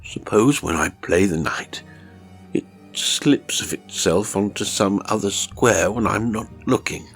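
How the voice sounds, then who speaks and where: Horror audiobook role: male narrator as a frightened, obsessive gentleman, croaking and halting, music underneath. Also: on the low side. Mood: anxious. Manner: stammering, panting, croaking, muttering. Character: desperate, terrified male chess fanatic, agitated and haunted.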